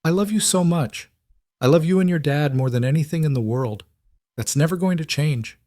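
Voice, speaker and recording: A man's voice: fairly low pitch, big pitch swings, normal speed, no room sound. Male narrator as a tender woman in an audiobook drama, careful and remorseful, dry close sound. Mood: tender, sorrowful. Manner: gentle, careful, earnest. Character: tender, guilt-ridden woman comforting a child.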